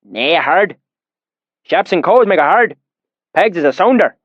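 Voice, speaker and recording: A man voicing a lean, weathered swineherd in the countryside, using a thick rustic dialect in a high, loud, drawling voice. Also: expressive, a little slow, no room sound, dull audio. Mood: friendly. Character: lean weathered rural male swineherd, suspicious then friendly.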